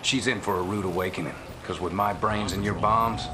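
Cocky low-voiced military schemer, acted by a man. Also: steady tone, fairly quick, noisy background, close to an everyday voice. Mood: smug, menacing. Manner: wry, informal, conspiratorial. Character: cocky scheming male military lieutenant, sardonic. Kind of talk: dramatic video game cutscene acting.

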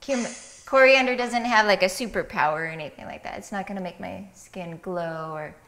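A woman's voice: medium pitch, brisk, fairly bright tone. A woman in her thirties co-hosting a cooking show, chatting playfully and casually in a home kitchen.

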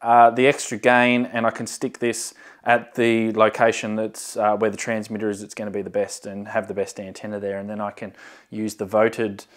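A man's voice: lowish voice, monotone, normal speed. A man in his thirties talking casually to camera as a hobbyist video host, giving a hands-on show-and-tell. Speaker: amateur radio hobbyist and channel host. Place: indoor workshop storeroom with shelving. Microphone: lapel mic.

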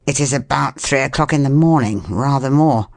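Woman reading as a low-voiced, brusque gentleman. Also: moderate pace, no room sound, studio quality. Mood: matter-of-fact. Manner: brusque, courteous, matter-of-fact. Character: indignant but sensible gentleman journalist, hard-worked. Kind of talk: storybook character voice.

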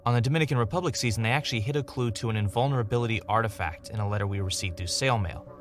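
An actor playing a jaded former reality show winner turned podcaster, blunt and world-weary, in an audio drama. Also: male voice, lowish voice, racing, music in the background, lightly acted. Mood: explaining. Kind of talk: audio drama dialogue and confessional narration.

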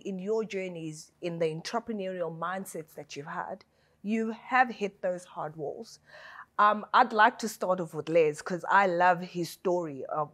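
A woman hosting a panel discussion, chatty and conversational, with loose fillers as she sets up her questions. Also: lowish voice. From Kenya. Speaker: host. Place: hotel event space.

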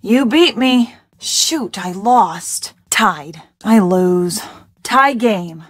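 An actress playing a wry, peppy young woman in an adventure game, expressive and colloquial, with an American accent. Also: medium pitch, very slow, no echo, studio quality. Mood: playful. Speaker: video game voice actor. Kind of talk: scripted adventure game dialogue.

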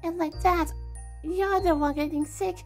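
Lovestruck young hedgehog girl played by a woman, extremely high, slow, girlish and dramatic, music underneath. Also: steady tone, a little mellow. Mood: gentle. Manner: girlish, gushing, theatrical. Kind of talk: amateur comic dub voice acting.

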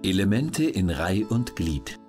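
A man's voice: low-pitched, big pitch swings, slow, music in the background. A man reads scripted educational narration as an audiobook narrator.

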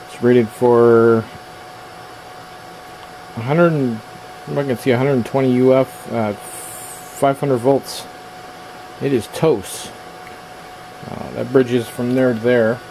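A man giving casual, halting hands-on repair commentary, thinking aloud as he works in a small workshop. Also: medium pitch. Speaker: electronics repair hobbyist hosting a video. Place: small indoor workshop at a workbench. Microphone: handheld camera's built-in microphone. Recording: noisy handheld camera sound, steady background hum.

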